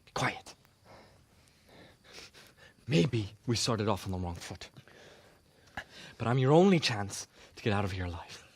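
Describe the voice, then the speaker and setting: Low-voiced, furtive turncoat. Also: male voice, big pitch swings, a little slow, pauses often, full character voice. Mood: furtive, cajoling. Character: furtive, wheedling male turncoat offering help. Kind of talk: dramatic video game cutscene acting.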